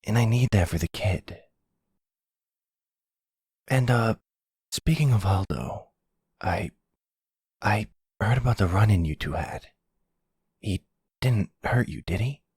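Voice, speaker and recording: A man's voice: on the low side, animated, slow pace, pauses often, a little mellow, husky, no room sound, studio quality, voice pushed up, full character voice. A man playing a sulky, defensive young crook, a grown son who protests and hesitates, in an ASMR roleplay drama. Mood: apologetic, earnest.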